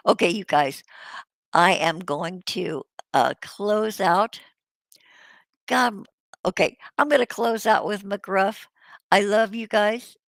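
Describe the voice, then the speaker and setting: A woman's voice: medium pitch, slow, gravelly, pauses often, no room sound. A woman hosting a casual livestream chat, rambling, laughing and swearing freely while she loses her voice. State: losing her voice. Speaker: host.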